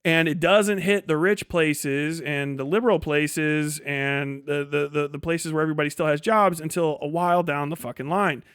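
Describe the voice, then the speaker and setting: A man's voice: on the high side, fairly even intonation, normal speed, few pauses, a bit bright, clear, resonant tone, dry recording, studio quality. A man in his forties on a livestream, casually ranting about politics in an irreverent, rambling way with heavy swearing. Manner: heavily profane, irreverent, rambling. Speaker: podcast host and streamer.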